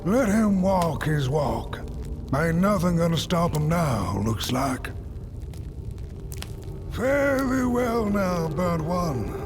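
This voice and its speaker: A folksy game character, high voice, slow drawl, easygoing, loud music behind. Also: male voice, big pitch swings. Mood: wry farewell. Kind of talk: video game character acting.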